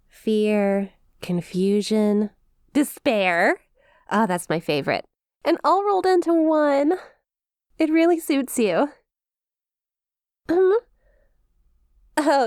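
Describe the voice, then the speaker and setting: Woman doing a perky little demon girl for asmr roleplay, slow n teasing, smug, super close dry sound. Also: a little high, darkish tone, clear, resonant tone, no room sound. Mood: gleeful teasing. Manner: playful mock sympathy, drawn-out teasing delivery. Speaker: audio roleplay performer.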